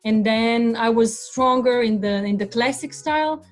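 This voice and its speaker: Woman from Croatia, podcast guest, relaxed rambling talk, slightly high voice. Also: measured pace, faint music behind. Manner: relaxed, many fillers and restarts. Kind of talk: casual interview chat.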